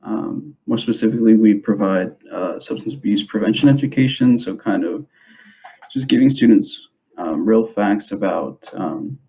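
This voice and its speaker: Low, gravelly young man, halting delivery. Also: warm tone. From grew up in the United States, born in El Salvador. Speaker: school substance abuse prevention specialist. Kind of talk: informal video presentation to parents. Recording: dull, muffled lo-fi sound.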